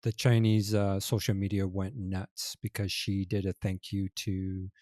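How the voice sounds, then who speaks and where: A middle-aged man co-hosting a casual podcast conversation, talking in a halting, thoughtful way. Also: deep voice, flat delivery, a little mellow, no echo, clean studio sound. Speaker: podcast co-host.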